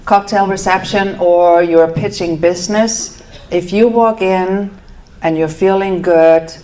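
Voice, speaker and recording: A middle-aged woman from Denmark speaks with a Danish accent, her voice sitting a little low. She presents to a live audience in an easy, conversational way, with fillers and quick self-corrections. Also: steady tone, dark tone, poor audio. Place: event venue.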